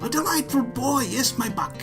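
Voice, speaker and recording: An old British gentleman bursting with excitable joy. The delivery is theatrical and exclamatory, pitched well up high. Music plays clearly behind this audio-fiction figure. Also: moderate pace. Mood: delighted. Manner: exclamatory, hammy, laid on thick.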